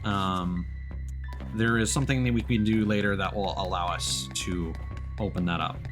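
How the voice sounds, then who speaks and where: A man's voice: low voice, fairly even intonation, normal speed, music in the background. A man giving casual, playful commentary as he livestreams a video game. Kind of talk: casual let's play commentary with on-screen text read aloud.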